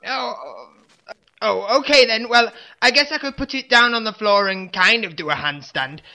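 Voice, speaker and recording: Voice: mid-range voice, very animated, moderate pace, bright, forceful tone, poor audio. An actor voicing a hapless, theatrically boastful wannabe supervillain in a comedy audio drama, grandiose one moment and meekly awkward the next. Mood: sheepish, awkward. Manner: grandiose threats collapsing into meek mumbling.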